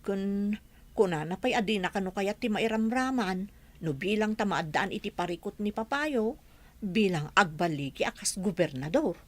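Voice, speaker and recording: A woman's voice: medium pitch, lively intonation, normal speed, lightly acted. A woman playing a respectful, gossipy household maid who explains things hesitantly in a radio drama. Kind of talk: Ilocano radio drama acting.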